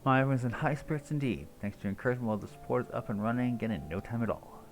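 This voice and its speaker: A man's voice: medium pitch, racing. A man livestreaming a video game, reading dialogue aloud and commenting casually. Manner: casual, sweary interjections. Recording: game music behind the voice. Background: video game music.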